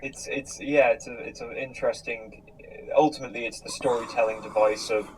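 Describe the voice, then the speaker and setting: A man hosting a casual discussion, thinking aloud in a halting, tentative way. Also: medium pitch, steady tone, poor audio. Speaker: host reading audience questions. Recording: clear background noise.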